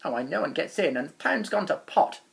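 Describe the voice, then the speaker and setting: A woman's voice: low-pitched, lively intonation, a little fast, gravelly, heavily acted. Lady doing a friendly doorman guy in a rhyming kids story, grand and emphatic, really swoopy intonation. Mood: troubled, explaining. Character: friendly, kindly male doorman of a dream city. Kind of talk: storybook character reading in rhyme.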